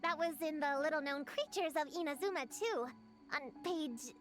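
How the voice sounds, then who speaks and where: A woman's voice: high-pitched, normal speed, faint music behind, close to an everyday voice. A woman voicing an earnest, bossy little witch girl who lectures about creatures in a game. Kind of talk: game voice acting.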